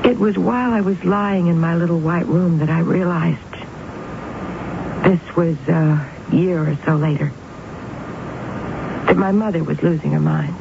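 A woman's voice: lowish voice, gravelly. An actress playing a young woman convinced of her psychic powers in a 1970s radio drama, grandiose and self-absorbed, with dramatic, emphatic delivery. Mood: confiding. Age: young adult. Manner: dramatic, emphatic, deliberate repetitions. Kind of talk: 1970s radio drama acting, dialogue and confiding narration. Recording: tinny, noisy 1970s radio broadcast recording.

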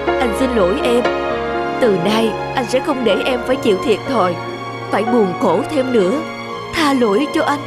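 An actor playing an intense, emotional husband in an animated drama, speaking emphatically. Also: male voice, very high voice, normal speed, loud music behind the voice. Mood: remorseful. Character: intense, emotional husband, suspicious then remorseful.

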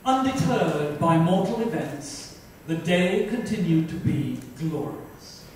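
A man's voice: on the high side, lively intonation, slow pace, darkish tone. A middle-aged man narrating a dramatic reading of a book. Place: club lounge on stage-like set, indoors. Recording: echoey room, distant mic, noisy.

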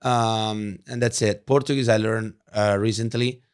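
A man's voice: fairly low pitch, steady tone. A man in his thirties from Italy, speaking English as an upbeat podcast guest in a studio. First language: Italian. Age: 30s. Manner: upbeat, laughs easily, quick answers. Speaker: guest, network marketer. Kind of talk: casual interview chat. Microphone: studio mic on boom arm.

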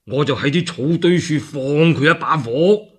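A man plays a sly male groom plotting murder in a radio story. He speaks with a Cantonese accent, low and conspiratorial, with a husky edge. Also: normal speed, fairly bright tone.

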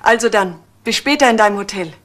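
A woman's voice: medium pitch, fast talker, a bit bright, a little hiss or hum, close to an everyday voice. A woman acting the part of a professor's anxious, defensive daughter in a German crime radio drama, hesitant and pleading.

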